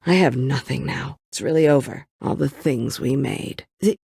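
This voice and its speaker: A woman's voice: rumbling low, moderate pace, vocal fry, dark tone, breathy edge, dry recording, clean studio sound, full character voice. An actress playing a bitter, world-weary woman survivor set on vengeance in a story video game, brooding, curt and dryly sardonic. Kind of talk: dramatic video game voice acting.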